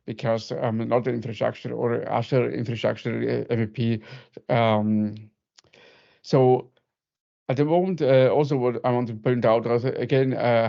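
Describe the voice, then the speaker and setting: Halting man, gravelly low creak. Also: warm tone. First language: German. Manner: halting, frequent repetitions and self-corrections. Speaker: presenter, data solution architect. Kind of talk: informal technical webinar presentation. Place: online video meeting. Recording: muffled, band-limited online call audio.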